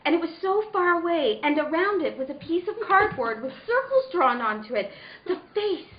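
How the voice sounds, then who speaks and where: An actress plays a wistful, frightened woman recalling a strange dream for a radio drama. Her high voice moves in lively swings, reflective and hesitant. The sound is dull and echoey. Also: normal speed. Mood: uneasy, dreamy.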